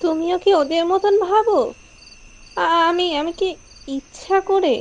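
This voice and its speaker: A woman playing a high-voiced wronged wife, cavernous reverb. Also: fairly even intonation, unhurried. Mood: hurt, pleading. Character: wronged childless young wife turned vengeful ghost. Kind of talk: Bengali horror audio drama acting. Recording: long hall reverb, clear background noise.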